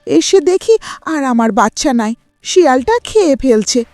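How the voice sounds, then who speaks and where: A woman's voice: sits high, big pitch swings, a little slow, darkish tone, dry recording. A woman voicing a small grieving mother bird in a cartoon moral story, pleading for justice. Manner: plaintive, pleading. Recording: music behind the voice.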